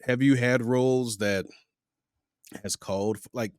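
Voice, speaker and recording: A middle-aged man from the United States hosting a podcast, telling stories in a rambling, animated way. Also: medium pitch, a little slow, clean tone, no room sound, studio quality. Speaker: podcast host. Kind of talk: casual interview chat.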